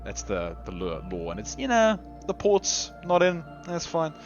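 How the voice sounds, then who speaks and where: A hoarse man rambles through live game commentary, his pitch swinging up and down as he talks. The recording is dull and lo-fi, with faint music underneath. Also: wildly swooping pitch, measured pace. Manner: chatty, rambling, lots of restarts and laughs. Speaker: tournament commentator.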